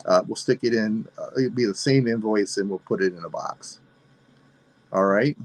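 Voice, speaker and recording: A man of sixty-five, hosting a live sale and signing off in a relaxed, rambling way while losing his voice. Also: fairly low pitch, moderate pace, poor audio. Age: 60s. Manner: relaxed, rambling, self-deprecating. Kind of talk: casual live stream sign-off.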